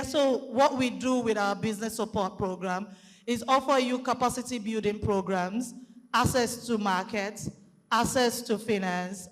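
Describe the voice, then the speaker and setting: A loud-voiced Nigerian woman gives a methodical presentation at a conference, laying out each point with an occasional filler. Her voice rings through a hall with a long reverb tail behind it. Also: mid-range voice. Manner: explanatory, methodical, with light fillers. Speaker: conference speaker. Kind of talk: public presentation.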